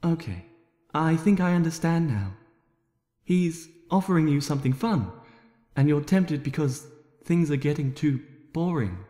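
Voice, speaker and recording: A man voicing a shy, gentle young female pony with a hesitant, soft-spoken manner in an amateur story reading. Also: fairly high pitch, animated, measured pace. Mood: gentle questioning. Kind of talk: amateur fan fiction audiobook character voice. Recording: echoey room with long reverb.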